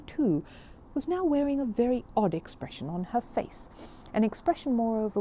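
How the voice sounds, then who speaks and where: A woman reading a children's story aloud in an expressive, animated storytelling manner. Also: mid-range voice, slight background noise.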